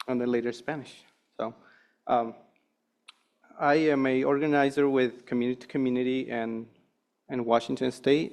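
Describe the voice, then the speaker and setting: Gravelly man reading testimony. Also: medium pitch, darkish tone. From Guerrero, Mexico. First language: Mixteco. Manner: hesitant, many ums and restarts. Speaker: community organizer.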